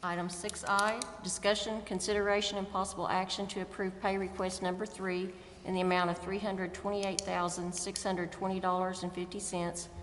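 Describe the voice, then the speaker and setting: A woman chairing a city council meeting, reading agenda items in a brisk, even cadence. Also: medium pitch. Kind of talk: formal meeting procedure. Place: council chambers. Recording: echoey council chamber, faint noise.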